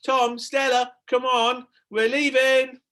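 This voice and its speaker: A man voicing a mother who calls loudly and commandingly to children across a garden in a storybook. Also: very high voice, level tone, takes it slowly, voice pushed up, exaggerated voice. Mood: calling, insistent. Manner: loud, commanding calling.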